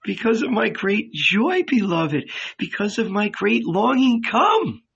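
A man's voice: fairly high pitch, expressive, slow, a bit bright, highs cut off, voice pushed up, exaggerated voice. A man doing a tender, tearful young woman. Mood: tender longing. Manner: tender, pleading, breathless.

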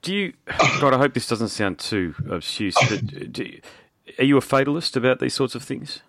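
A man's voice: mid-range voice, expressive, normal speed, a bit bright, a little hiss or hum. A man, hosting a podcast in a friendly, chatty way. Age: 40s. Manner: friendly, chatty, says mate and man a lot. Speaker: podcast host. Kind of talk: casual interview chat.

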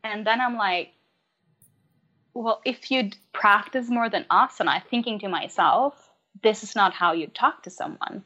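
A woman's voice: medium pitch, measured pace, sharp tone, dull audio. Hesitant Norwegian woman, yoga teacher. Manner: reflective, many ums and hesitations. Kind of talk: casual podcast conversation.

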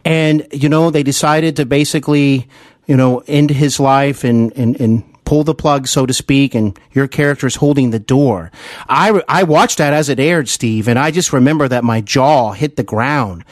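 A man hosting an interview podcast, speaking in an earnest, halting way with repeated words as he recalls things like a devoted fan. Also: mid-range voice, no echo, clean studio sound. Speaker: podcast host. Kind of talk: interview, enthusiastic fan recollection.

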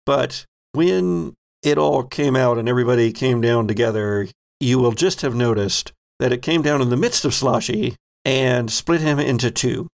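A man improvising narration as game master of a tabletop roleplaying game, phrasing things in a deliberate, halting way. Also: mid-range voice, vocal fry.

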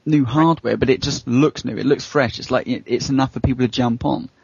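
Quick, gravelly man in casual discussion. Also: mid-range voice, darkish tone, no echo, highs cut off. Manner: informal, explanatory. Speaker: podcast panelist.

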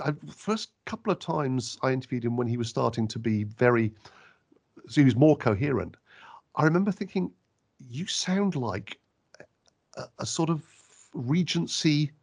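A man in conversation who talks in an animated, emphatic way, his pitch jumping as he stresses his points. He often restarts his sentences as he works toward what he means. His voice sits in a middling range. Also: creaky, husky. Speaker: radio interviewer. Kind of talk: conversational interview answers.

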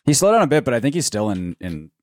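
A man's voice: mid-range voice, sing-song, fast talker, no room sound. A man co-hosting a podcast in casual, conversational sports chat. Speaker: podcast co-host.

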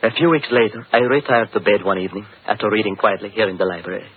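An actor playing a cultured, haunted count, formal and brooding, in a 1940s radio horror drama. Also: male voice, fairly low pitch, fast talker, narrow, tinny audio, a little hiss or hum, natural voice. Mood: troubled, brooding. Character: cultured, haunted Carpathian count, fearful of madness. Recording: thin 1940s radio recording.

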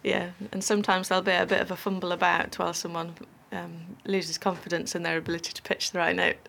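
Woman vicar explaining, lowish voice. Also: level tone, quick pace, gravelly, fairly bright tone. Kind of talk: casual explanatory chat.

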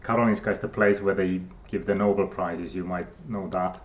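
A man's voice: sits low, steady tone, normal speed, highs cut off. A middle-aged man from Finland with a Finnish accent, a guest scientist who talks hesitantly with many fillers and restarts. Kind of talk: casual interview talk.

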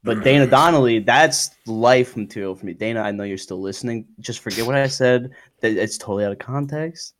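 A man's voice: medium pitch, fairly quick, few pauses. Sardonic man on a comedy podcast. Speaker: podcast co-host. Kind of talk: crude comedy podcast banter.

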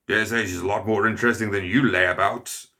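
A man voicing a gruff, boisterous older aristocrat hunter who barks and growls his lines. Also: lowish voice, steady tone, brisk, pushed and bright. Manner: barking, growling.

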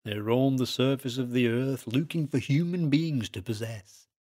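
A man's voice: medium pitch, normal speed, a little mellow, pitched above the performer's own voice, lightly acted. A man voicing Kane, a broad-voiced Lancastrian researcher explaining eerie lore to his friend. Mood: earnest explaining.

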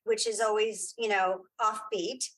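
Husky woman in casual interview chat. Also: medium pitch, steady tone, unhurried, low-quality recording. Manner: conversational, thinking aloud, repeats herself. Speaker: guest, audio drama creator and filmmaker.

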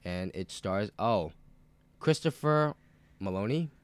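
A man with a very low voice who sounds nasal and stuffed up from a cold. He hosts a podcast in casual chat, rambling loosely, swearing freely and filling pauses with lots of "um" and "like.". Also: animated, clear, resonant tone, dry recording. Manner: loose, rambling and profane, with many fillers. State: sick with a cold. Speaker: podcast host.

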